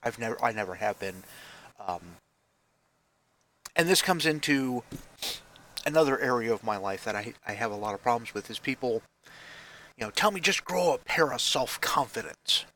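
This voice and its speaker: A man's voice: medium pitch, expressive, normal speed, pauses often, bright, forceful tone, poor audio. A man in his forties talking into a headset mic at home, rambling and running himself down, with many restarts. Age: 40s. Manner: rambling, self-deprecating, frequent restarts. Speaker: vlogger. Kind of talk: casual self-deprecating vlog talk. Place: basement room at home.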